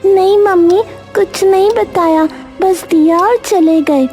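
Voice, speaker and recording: An extremely high, soft little girl's voice for a cartoon tale. She sounds innocent, eager and childlike, with light music underneath. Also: fairly even intonation, slow pace. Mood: innocent explaining. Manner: childlike, naive.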